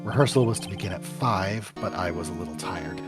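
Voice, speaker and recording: A man's voice: low voice, a little fast, lightly acted. A man voicing a mild, earnest TV action star in a video game, politely explaining himself. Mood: sober recounting. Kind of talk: live fan voice-over of a video game. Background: music.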